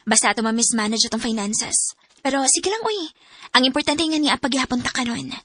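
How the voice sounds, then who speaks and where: High, bright devoted young wife. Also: female voice, fairly quick, no room sound. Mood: patient, accepting. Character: devoted, patient, self-sacrificing young wife. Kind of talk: radio drama acting.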